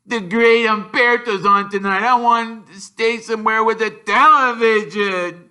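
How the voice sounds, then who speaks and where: Storybook brat, played by a male reader: sulky spoiled boy, high-pitched, drawn-out whining, bright edge. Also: steady tone, smooth, heavily acted. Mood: sulky, whiny. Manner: dull, drawn-out complaining. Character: whiny, spoiled, sulking young boy.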